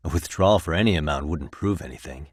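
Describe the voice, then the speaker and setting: A man's voice: a bass voice, fast talker, husky, no echo, clean studio sound. A man with an American accent voicing a cool, determined private detective in a video game, speaking in a casual, wry, conversational way. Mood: firm, serious. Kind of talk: video game voice acting, naturalistic dialogue.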